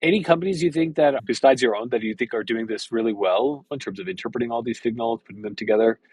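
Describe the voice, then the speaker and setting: A man's voice: medium pitch, fast talker, darkish tone, breathy edge. A man hosting an interview podcast, asking curious questions and backing his guest with frequent "mm-hmm.". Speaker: podcast host. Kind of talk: casual interview.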